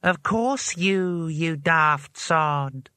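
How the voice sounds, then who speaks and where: An ancient spell from a fantasy storybook speaks out of nowhere , acted by a male narrator. The voice rustles like paper and draws its words out slowly, raised a little in pitch. It sounds pompous yet easily muddled, in a dry recording. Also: sharp tone, clean tone, no echo, exaggerated voice. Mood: scornful.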